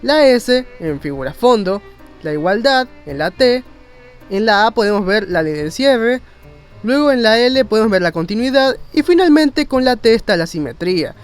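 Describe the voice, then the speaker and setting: A man's voice: high voice, lively intonation, normal speed, clear, resonant tone. A man narrating an informal educational explainer in a conversational, rambling way with self-mocking asides. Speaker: video narrator and graphic designer. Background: faint music.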